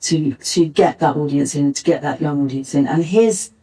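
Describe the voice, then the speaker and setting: Older female panelist, low register, halting reminiscent delivery, miked from afar at a live talk. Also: mellow. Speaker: pantomime writer, director and actor, panelist. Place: arts centre stage, live panel. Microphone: distant backup recorder. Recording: distant mic, uneven backup recording.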